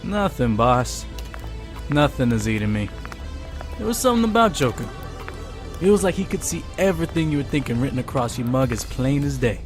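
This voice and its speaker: A man's voice: medium pitch, big pitch swings, fairly quick, over music, close to an everyday voice. An actor playing a wary, ambitious henchman in a motion comic, speaking in a plain, confiding, hard-boiled noir manner. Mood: guarded. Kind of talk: motion comic narration and dialogue.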